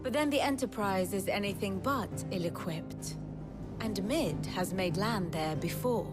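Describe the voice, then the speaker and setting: A woman's voice: medium pitch, a little slow, over loud music, lightly acted. An older woman playing an exacting, wry scholar in a fantasy game, speaking in an eloquent, dry lecturing manner. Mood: measured lecturing.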